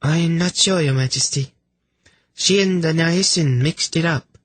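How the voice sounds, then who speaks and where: Man voicing a high-pitched smug young woman. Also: a little slow, no echo. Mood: bright, polite. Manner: bright, sweetly polite with mocking sweetness.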